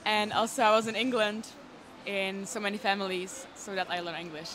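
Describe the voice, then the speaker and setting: A woman's voice: mid-range voice, steady tone, brisk, a bit bright, a little hiss or hum. A young woman from the Czech Republic with a Czech accent, chatting in English in a casual interview and sometimes searching for words. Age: young adult. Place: indoor cafe. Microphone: handheld microphone. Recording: music playing behind.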